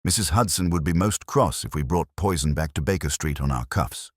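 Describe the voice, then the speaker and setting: Guy doing a dry clipped detective for an audiobook, super deep, bit husky. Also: a little fast, no room sound. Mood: calm, commanding. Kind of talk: audiobook character dialogue.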